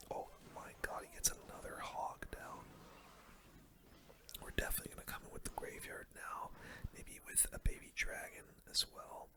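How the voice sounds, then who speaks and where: A gaming host whispering ASMR commentary in a breathy, unvoiced whisper, with relaxed, casual gamer slang.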